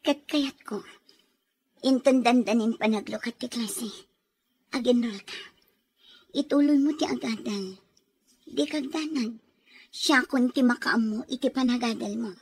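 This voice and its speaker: A woman's voice: on the high side, measured pace, long pauses, breathy edge. A woman with an Ilocano Filipino accent acting a headstrong young daughter in a radio comedy-drama. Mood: encouraging. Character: headstrong, sorrowful young daughter refusing arranged marriage.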